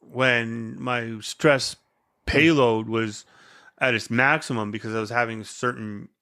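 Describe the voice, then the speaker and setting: Man, earnest, speech-like delivery, mid-pitched voice, occasional stutter. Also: fairly even intonation, slow, sharp tone. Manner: earnest, speaks like giving a speech; stutters at times. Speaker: podcast guest. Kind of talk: casual conversation.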